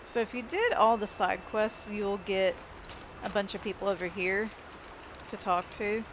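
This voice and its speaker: A woman's voice: mid-range voice, a little slow, fairly bright tone, slight background noise, rough recording. A woman giving casual gameplay commentary as she plays through a video game. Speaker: let's play gamer. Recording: game music behind voice.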